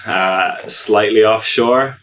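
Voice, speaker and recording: A man with an Irish accent, a guest on a podcast, chatting casually in a relaxed, self-deprecating way. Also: fairly low pitch, slow pace. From Ireland. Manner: relaxed, self-deprecating, hesitant with many ums. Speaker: podcast guest, agile coach.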